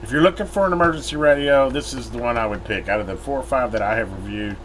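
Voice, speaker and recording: A man's voice: medium pitch, quick pace, low-quality recording. An American man giving a casual, unscripted product review, recorded inside a metal building. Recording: clear steady background noise.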